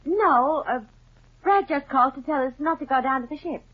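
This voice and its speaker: An actress playing a plucky, breezy young sidekick to a detective in a 1950s radio drama. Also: female voice, sits high, normal speed, close to an everyday voice. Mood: evasive. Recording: muffled vintage 1950s radio recording.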